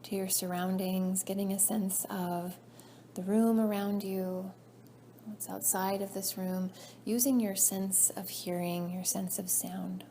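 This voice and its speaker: A woman leading a guided meditation as a yoga nidra teacher, soothing and measured, her phrases trailing off softly. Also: mid-range voice, level tone. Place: indoor class room. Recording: faint background noise, live class recording.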